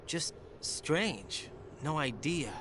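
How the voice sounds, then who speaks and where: An actor playing a troubled, self-doubting young soldier in a video game, with an earnest, brooding delivery. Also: male voice, on the high side, animated, very slow, background noise. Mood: guarded.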